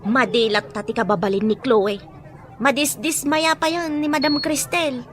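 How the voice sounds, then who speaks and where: A woman's voice: high voice, fairly even intonation, moderate pace, crowd noise, noisy background, natural voice. A woman playing a gossipy, complaining but deferential household helper in an audio drama, with a Filipino accent. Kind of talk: Ilocano audio drama acting.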